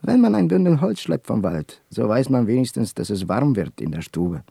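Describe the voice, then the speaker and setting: An actor playing a fiery, bitter rebel back from war, declaiming against the rich in a German radio play. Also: male voice, medium pitch, big pitch swings, normal speed, creaky, warm tone, slightly rough, no room sound, studio quality, exaggerated voice. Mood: bitter, fervent. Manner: declamatory, brooding, emphatic.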